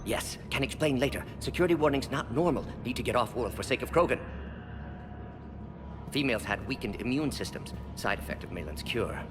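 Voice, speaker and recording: An actor playing a brisk, brilliant aging alien scientist in a video game, speaking in clipped, telegraphic phrases that drop small words. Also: male voice, medium pitch, expressive, lightly acted. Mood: urgent, clinical. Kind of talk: video game cutscene acting. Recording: long hall reverb, music behind.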